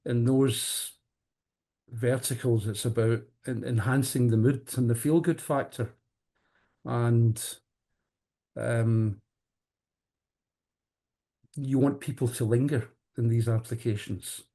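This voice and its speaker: Mellow-voiced man, halting interview answers. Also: medium pitch, steady tone. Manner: halting, hesitant, repeats word beginnings. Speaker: company executive being interviewed. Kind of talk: interview answers on professional audio products.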